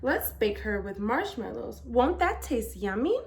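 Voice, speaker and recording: A woman voicing a gently reasoning mother in a storybook, coaxing with emphasis. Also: fairly high pitch, very animated, measured pace, creaky, heavily acted. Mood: coaxing, reasonable.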